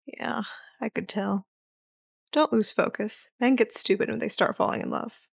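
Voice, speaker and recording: A woman playing a cool, sardonic young operative and teasing sister in a sci-fi audio drama, with a deadpan delivery. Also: mid-range voice, moderate pace, creaky, husky, dry recording, clean studio sound.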